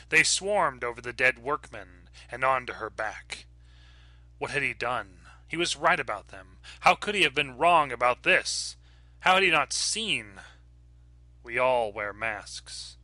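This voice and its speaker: A man reading a horror short story aloud in a measured, dramatic narration. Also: mid-range voice, bright, forceful tone, no echo. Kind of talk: scripted narration.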